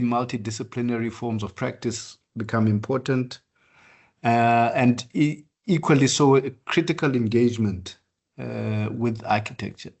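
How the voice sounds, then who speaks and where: Older architect, sixties, lecturing, halting and measured, frequent ums and restarts. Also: male voice, medium pitch. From southern Africa. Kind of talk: online practice lecture.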